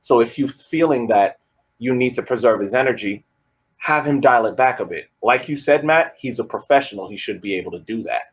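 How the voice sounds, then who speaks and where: A man co-hosting a podcast, laying out soccer analysis in measured, complete arguments. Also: medium pitch, lively intonation, husky. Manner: measured, argues in full sentences. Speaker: podcast co-host.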